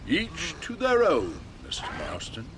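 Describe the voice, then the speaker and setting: An actor playing a florid, arty, excitable showman in a Western video game. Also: medium pitch, measured pace, noisy background. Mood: coaxing. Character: florid, excitable male moving-picture showman of the Old West. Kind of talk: video game character acting.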